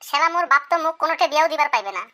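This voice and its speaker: Comic cartoon girlish female: extremely high, rapid, exaggerated delivery. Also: expressive, sharp tone. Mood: worried. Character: young female: doting mother or shy village schoolgirl.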